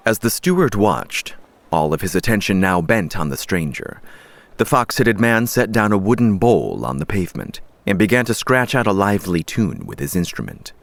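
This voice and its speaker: Deep voiced guy reading a fantasy audiobook, dramatic and deliberate, dry studio sound. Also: vocal fry, no room sound. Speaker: audiobook narrator. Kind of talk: dramatic scripted fantasy narration.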